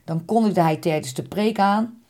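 A woman narrates a documentary podcast from a script. Her voice sits low for a woman and has a mellow, rounded tone. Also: normal speed.

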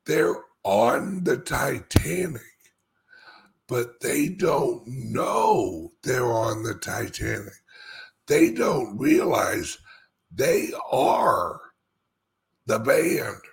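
A man with a raspy voice delivers an informal, emphatic monologue as a crypto commentator, repeating phrases and leaving dramatic pauses. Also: mid-range voice, lively intonation. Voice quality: speech impediment. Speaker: cryptocurrency commentator and show host.

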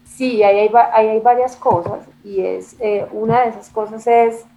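A woman's voice: a little high, fairly even intonation, moderate pace, warm tone, poor audio. A woman from Bogotá with a Colombian capital accent, speaking as an interview guest in a casual, reflective and emphatic way, repeating phrases for stress. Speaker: interview guest, music and culture magazine editor.

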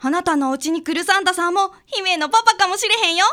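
A woman plays a small schoolgirl in a radio drama. The voice is extremely high and bright. She is earnest and insistent, speaking in a childlike, matter-of-fact Kansai accent. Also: fast talker. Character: insistent, sincere second-grade little girl. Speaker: radio drama actor playing a client. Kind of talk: community radio drama acting.

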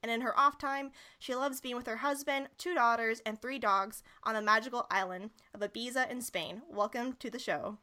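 A woman's voice: a little high, fairly even intonation, normal speed, hardly any pauses, sharp tone. Effusive woman podcast host. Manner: effusive, gushing with praise. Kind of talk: casual interview chat.

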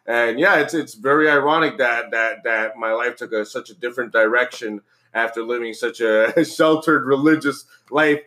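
A man in his thirties, born in the Dominican Republic, talks in a loose, rambling way, full of fillers, restarts and quick laughs. His voice sits at an ordinary middle pitch for a man. He is a guest in casual podcast chat. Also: fairly even intonation, bright, forceful tone.

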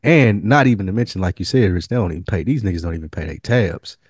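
A man's voice: sits low, lively intonation, fast talker, slightly rough, no echo, studio quality. A young man co-hosting a podcast, talking in a laid-back, slangy way and giving blunt opinions. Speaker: podcast co-host. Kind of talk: casual chat.

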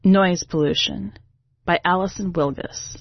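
Low-voiced woman reading, dry and dull. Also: unhurried, vocal fry, dry recording. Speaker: podcast host and story reader. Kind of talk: expressive first-person audiobook narration.

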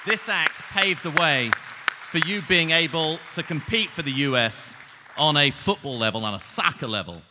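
A man's voice: on the high side, lively intonation, moderate pace, a crowd in the background, background noise. A British man co-hosting a live football show on stage with a playful delivery. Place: on stage in a large theater. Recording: reverberant theater hall.

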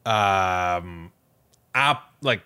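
A man co-hosting a podcast, chatting casually in an animated, playful way. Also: low voice, very slow, clear, resonant tone. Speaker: podcast co-host.

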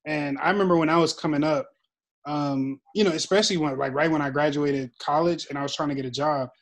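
A man's voice: on the high side, fairly even intonation, a little fast. A young man moderating a casual group discussion, thoughtful and rambling with many fillers.

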